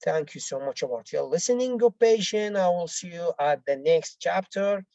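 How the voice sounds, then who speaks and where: A woman language teacher who is not a native English speaker, explaining exercises step by step in a halting, repetitive way. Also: on the low side, lively intonation, warm tone, dry recording, dull audio. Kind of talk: bilingual lesson, reading out exercises and explaining answers.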